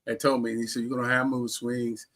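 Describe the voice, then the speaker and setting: A man's voice: medium pitch, flat delivery, brisk, fairly bright tone. An American man telling personal stories casually as an interview guest, often repeating and restarting his phrases. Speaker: interview guest, heart transplant recipient.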